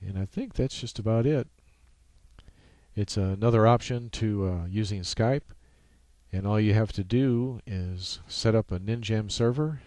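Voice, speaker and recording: A man presenting an informal software tutorial, explaining step by step in a halting way. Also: fairly low pitch, no room sound.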